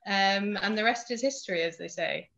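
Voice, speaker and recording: A woman's voice: mid-range voice, normal speed, gravelly, background noise. A woman with a Mancunian accent, a podcast guest chatting casually with frequent fillers. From Manchester, England. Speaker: guest, youth movement emissary. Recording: dull lo-fi audio.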